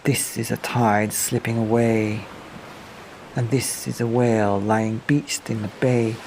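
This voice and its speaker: A man narrating a rhyming bedtime picture book in a measured, expressive read-aloud style. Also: mid-range voice, gravelly, a little hiss or hum, poor audio. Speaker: podcast storyteller.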